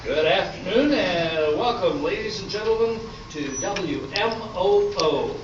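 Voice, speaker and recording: An older male presenter reads a scripted introduction at a slow pace. His voice is on the higher side and reaches a distant mic through heavy room echo, with a dull, slightly noisy sound. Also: animated. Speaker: announcer and presenter of an amateur radio play. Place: indoor hall at a live performance. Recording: roomy echoing hall, distant mic, dull, faint noise.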